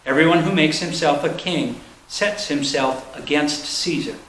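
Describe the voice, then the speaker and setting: A man's voice: fairly high pitch, measured pace, fairly bright tone. Male scripture reader, solemn scripted delivery, echoey room with a faint noise underneath. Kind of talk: solemn scripted reading of a Bible narrative. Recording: roomy echo, faint background noise.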